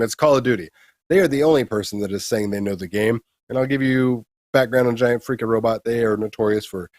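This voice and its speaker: A man's voice: medium pitch, dry recording. A man co-hosting a gaming news show, chatting casually with blunt, sarcastic opinions. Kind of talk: casual chat and opinionated commentary.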